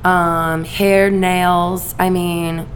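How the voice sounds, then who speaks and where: A woman with a raspy voice co-hosting a podcast, chatting casually and filling her speech with "like" and "um". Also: on the low side, level tone, very slow, a little hiss or hum. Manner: chatty, filler-heavy with lots of "like". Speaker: podcast co-host.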